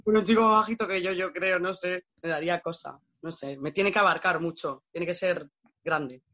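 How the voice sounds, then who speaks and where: A woman's voice: on the low side, normal speed, pushed and bright, dull audio. A young woman from La Mancha with a Castilian Spanish accent, chatting casually and candidly in colloquial language. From La Mancha, Spain. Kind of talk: casual chat.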